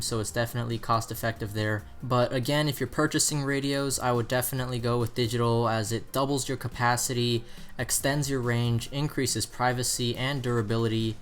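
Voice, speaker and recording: A man presenting an explanatory buying guide in the style of a product review narration. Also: medium pitch.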